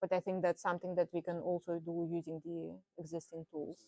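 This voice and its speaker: A woman's voice: lowish voice, little pitch movement, a little fast, warm tone, no room sound. Woman, thoughtful panelist. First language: Russian. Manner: thoughtful, frequent "right?" tags and fillers. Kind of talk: panel discussion.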